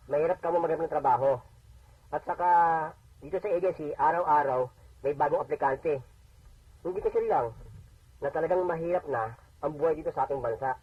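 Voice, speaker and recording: A man's voice: a little high, fairly quick, long pauses, no echo. A man acting a friendly, sympathetic recruitment agency staffer in a conversational radio drama style. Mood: sympathetic, matter-of-fact. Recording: muffled, husky-sounding AM radio playback.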